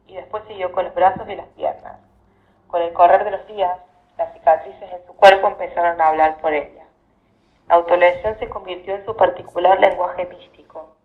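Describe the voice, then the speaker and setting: A woman's voice: on the low side, vocal fry. A woman novelist speaking as a podcast guest over a tinny, narrow connection. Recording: tinny narrow-band phone-like line.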